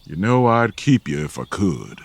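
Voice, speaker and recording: An actor playing a gentle, folksy man who speaks softly and slowly and calls people darling. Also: low voice, big pitch swings, vocal fry, slightly rough, exaggerated voice. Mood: tender. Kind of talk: audio drama acting.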